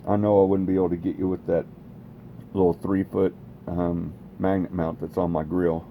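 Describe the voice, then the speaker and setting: A man's voice: low voice, little pitch movement, moderate pace, narrow, tinny audio, a little hiss or hum. A man casually demonstrating radio equipment in a rambling, plainspoken way, recorded in a home garage. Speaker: radio hobbyist presenting own equipment.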